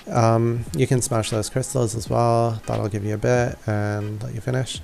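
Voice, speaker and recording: A man's voice: medium pitch, level tone, a little slow, vocal fry, darkish tone. A man giving casual, laid-back walkthrough commentary over a video game. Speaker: gamer narrating a walkthrough. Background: faint game music.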